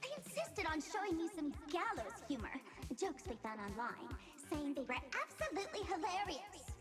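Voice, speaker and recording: Gleeful trickster villainess. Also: female voice, very high-pitched, sing-song, moderate pace. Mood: mocking. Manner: playful, mocking, sing-song. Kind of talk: video game voice acting. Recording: roomy game audio with music.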